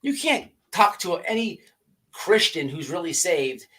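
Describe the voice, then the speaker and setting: High-pitched man ranting on a livestream. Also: sing-song, measured pace, sharp tone, breathy edge. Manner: profane, slangy, stammering and repeating words. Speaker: livestream host. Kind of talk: casual livestream rant, chatting with viewers.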